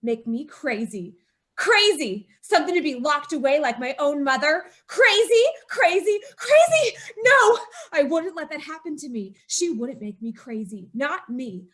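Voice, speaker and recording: High, tense woman narrating a radio horror. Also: sing-song, measured pace, a bit bright. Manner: tense, haunted, rising to frantic. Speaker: narrator and lead character. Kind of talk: old-time radio drama narration.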